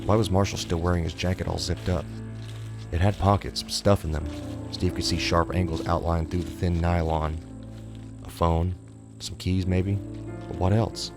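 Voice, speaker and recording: A man's voice: low voice, flat delivery, moderate pace, creaky, over music. A man reading a suspense horror story as scripted narration.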